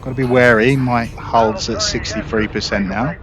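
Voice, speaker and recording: A man's voice: medium pitch, fairly even intonation, moderate pace. Man commentating over noisy gameplay. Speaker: gamer commentating on own gameplay. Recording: clear engine-like game noise behind voice. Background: spaceship game sounds.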